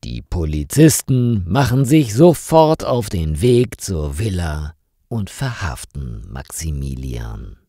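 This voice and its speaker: Slow, deep-voiced male story narrator. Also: a little mellow, no echo, clean studio sound. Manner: clear, deliberate storytelling delivery. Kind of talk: slow scripted story narration for language learners.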